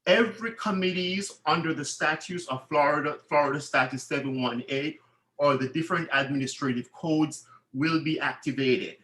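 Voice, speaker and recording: Man with a bright voice, earnest and formal, often restarts, echoey room. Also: on the high side, normal speed. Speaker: board candidate. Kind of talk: candidate speech at a meeting.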